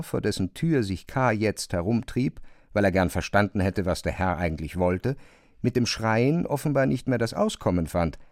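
A man reads literary prose in a measured, articulate way, as a narrator. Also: lowish voice, darkish tone, no echo, clean studio sound.

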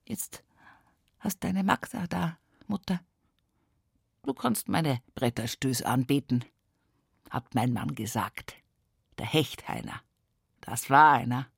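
An actress playing a weary, devout country woman in her sixties with an Upper Palatinate Bavarian accent, lamenting haltingly in a radio play monologue. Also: extremely low pitch, expressive, creaky, breathy edge, no room sound, studio quality, full character voice. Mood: wistful. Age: 60s. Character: weary, devout rural daughter nearing seventy, grieving, plain-spoken.

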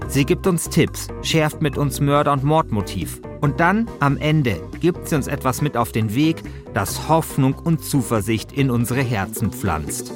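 A man's voice: mid-range voice, expressive, a little slow, few pauses, music in the background. A man hosting a podcast, reading scripted narration in a conversational way. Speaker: host and narrator.

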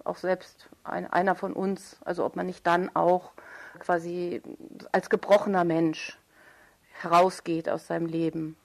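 A woman's voice: fairly low pitch, gravelly. A woman speaking as an interviewed writer, thoughtful and articulate, searching for precise wording as she reflects on literature. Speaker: writer interviewed about own radio play.

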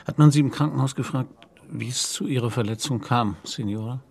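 A middle-aged police commissioner in a radio drama. His voice is husky and gravelly, mid-pitched, and he questions people with measured, considerate politeness. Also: a little mellow. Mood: gentle, careful. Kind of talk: radio play acting.

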